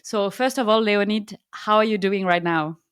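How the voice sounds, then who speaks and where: A woman's voice: mid-range voice, moderate pace, a bit bright, smooth, dry recording, studio quality. A woman hosting a podcast, welcoming her guest and asking questions in a friendly, encouraging way. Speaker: podcast host. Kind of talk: podcast interview.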